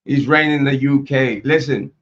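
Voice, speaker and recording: A man chatting loosely on a home livestream, his voice still a little worn from being sick. He repeats words, restarts sentences and laughs between thoughts. His pitch sits in the middle, and the sound is slightly dull. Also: fairly even intonation, normal speed. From Ohio, US. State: recovering from illness, voice coming back. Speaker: YouTube true crime host. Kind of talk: casual livestream chat.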